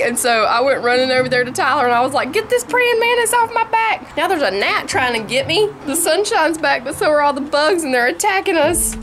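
A woman with a Southern US accent talks through her cooking in a chatty, homey way, calling her viewers y'all. Her voice sits a little high. Music plays clearly behind her in the home kitchen. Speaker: home cooking vlogger, mother. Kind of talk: casual cooking vlog narration.

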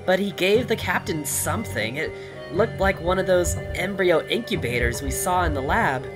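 Woman playing an earnest kid, low-pitched, over music. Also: expressive, moderate pace, close to an everyday voice, rough recording. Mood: alert, earnest. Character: observant, earnest child watching through night goggles. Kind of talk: audio drama dialogue.

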